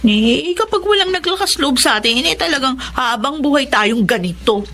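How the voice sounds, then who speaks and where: A woman's voice: high-pitched, lively intonation, moderate pace, sharp tone, full character voice. An actress with a Filipino accent playing an earnest, determined young provincial woman in a radio drama.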